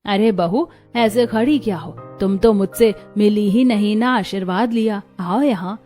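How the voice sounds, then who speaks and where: A woman voicing a kindly, traditional older aunt-in-law in a cartoon story, gentle and approving. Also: medium pitch, unhurried, soft background music, close to an everyday voice. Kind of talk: Hindi moral cartoon story dialogue.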